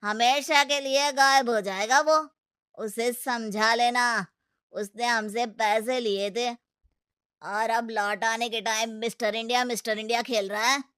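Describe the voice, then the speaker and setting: A woman's voice: fairly high pitch, steady tone, a bit bright, clear, resonant tone, dry recording, clean studio sound. Woman putting on a menacing, swaggering male gangster. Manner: threatening, swaggering, drawn-out.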